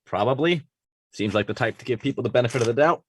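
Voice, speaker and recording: Actor as blunt, sardonic sandwich man for a sitcom; dry delivery with lively pitch swings. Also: fast, slight background noise, close to an everyday voice. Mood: deadpan, irritated. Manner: deadpan, dry. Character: Joey, blunt, sardonic deli baker and sandwich maker. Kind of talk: sitcom table read.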